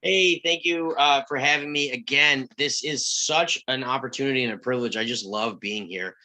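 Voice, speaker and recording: A man's voice: mid-range voice, normal speed, sharp tone. A man chatting casually and effusively as he introduces himself as a voice actor. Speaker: volunteer voice actor at table read.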